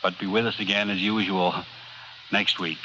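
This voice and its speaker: Man, 1940s radio dramatist-host. Low, slightly halting, earnest, with hiss from an old broadcast. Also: flat delivery. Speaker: radio dramatist presenting his show. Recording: muffled, noisy old radio broadcast recording.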